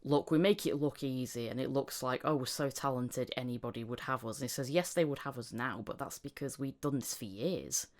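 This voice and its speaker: A woman's voice: rumbling low, a little fast, few pauses, a little mellow. A woman hosting a casual interview, talking in an encouraging, reflective way. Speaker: podcast host.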